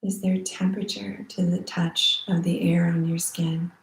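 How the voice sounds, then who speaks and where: A woman teaching guided meditation in a soothing, unhurried manner with long pauses. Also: lowish voice, little pitch movement. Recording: roomy echo.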